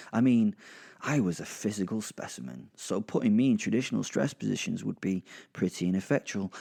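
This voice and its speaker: Low, gravelly British werewolf played by a man. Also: mellow. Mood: boastful, kinky. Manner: rambling, sweary, sardonic asides to the listener. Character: crude, kinky, irritable male werewolf narrating his ordeal. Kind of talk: first-person comic horror audio drama narration.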